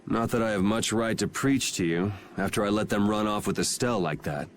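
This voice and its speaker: A man's voice: on the low side, measured pace, slight background noise, close to an everyday voice. An actor playing a brash, cynical young swordsman with a blunt, sarcastic manner in a video game. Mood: bitter. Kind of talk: English video game voice acting.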